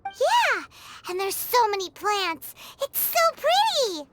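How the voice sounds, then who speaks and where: A woman voicing a small, childlike, excitable female companion creature in a video game, squeaky and exclamatory. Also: slow, very noisy, heavily acted. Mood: delighted. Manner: exclamatory, refers to self in third person. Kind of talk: video game character acting. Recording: music playing behind.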